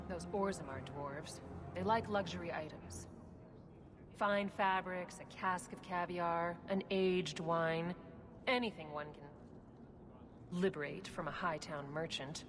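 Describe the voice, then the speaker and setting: A woman playing a brisk smuggler boss. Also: on the low side, big-room sound, music in the background, close to an everyday voice. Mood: businesslike. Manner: brisk, matter-of-fact. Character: shrewd, businesslike female smuggler boss.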